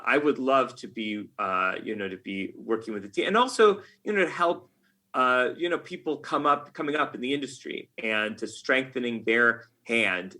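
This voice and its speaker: Male interview guest, American, bright edge on a lowish voice, halting conversational delivery. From United States. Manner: halting, thinking aloud. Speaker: podcast guest, game writer.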